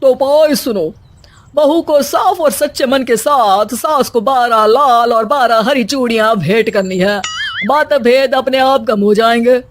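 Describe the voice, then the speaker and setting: A woman's voice: fairly high pitch, unhurried, a little hiss or hum, close to an everyday voice. A woman voicing a male holy man in a cartoon story, a solemn fake guru handing out remedies. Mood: solemn, instructing.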